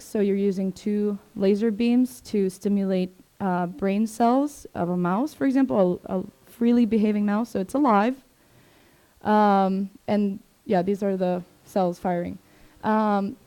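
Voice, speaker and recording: A woman's voice: medium pitch, dark tone. A young woman, giving a casual, filler-heavy career talk to students in a classroom. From Sweden, raised in Maryland, USA. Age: 20s. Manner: chatty, informal, many fillers and asides. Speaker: presenter. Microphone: 360 camera in the room.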